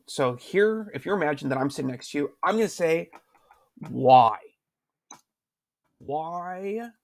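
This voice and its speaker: A man's voice: a little high, gravelly. A male teacher recording a lesson at his computer, talking patiently and repeating questions to prompt his students. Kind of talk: screen-recorded writing lesson.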